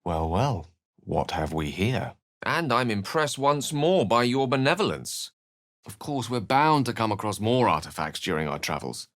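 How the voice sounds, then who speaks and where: An actor playing an eloquent, wry wizard companion in a fantasy video game, with articulate, theatrical phrasing. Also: male voice, fairly low pitch, lively intonation, unhurried, a bit bright, no room sound, studio quality. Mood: wry, thoughtful. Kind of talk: fantasy game dialogue and narration.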